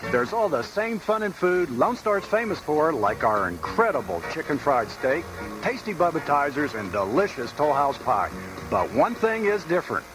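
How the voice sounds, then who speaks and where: Male commercial announcer, upbeat and animated, over a music bed on taped local radio. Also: a little high, moderate pace, low-quality recording.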